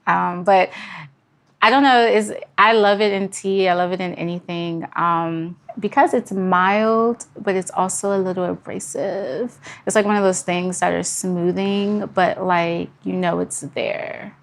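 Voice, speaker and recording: Give this voice to a young woman guesting on a casual podcast. Her pitch sits a little low for a woman. She talks informally and haltingly, with a giggly streak. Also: fairly even intonation, vocal fry. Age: young adult. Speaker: podcast guest, brewery founder. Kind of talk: casual chat interview.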